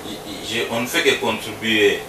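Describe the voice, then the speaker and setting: Low-voiced man, roomy distant sound. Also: background noise. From Congo. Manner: relaxed, repeats words, halting. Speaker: musician guest. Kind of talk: casual interview answers.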